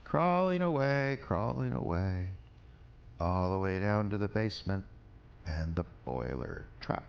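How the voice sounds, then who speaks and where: Bitter, drunken ex-husband for audio drama: low voice, crude, rambling, menacing. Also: male voice, sing-song, unhurried, creaky, lo-fi sound, slight background noise, full character voice. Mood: eerie, menacing.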